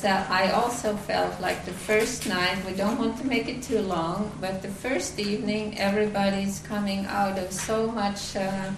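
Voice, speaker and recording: A woman from Finland with a Finnish accent, giving a devotional talk at a retreat, halting with many fillers and restarts. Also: mid-range voice, reverberant, far from the mic, noisy background. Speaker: retreat speaker.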